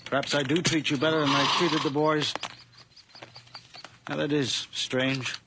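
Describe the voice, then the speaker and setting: An actor playing a kindly, proud old farmer in a radio drama, folksy and a little hesitant. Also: male voice, a little high, flat delivery, unhurried. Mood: warm, modest. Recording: clearly noisy background.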